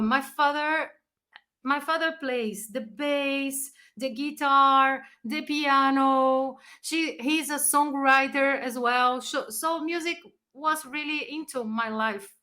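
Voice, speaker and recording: A Brazilian woman, a native Portuguese speaker, telling personal stories in non-native English in an expressive, casual interview manner. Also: sits high. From Brazil, raised partly in Chile. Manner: expressive, animated storyteller who acts out moments in non-native English. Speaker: musician and music teacher being interviewed.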